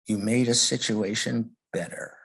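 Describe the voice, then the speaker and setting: A man with a somewhat low, husky voice leads a guided meditation. He speaks very slowly and gently, leaving long pauses between short phrases. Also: steady tone, rough recording. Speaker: meditation leader.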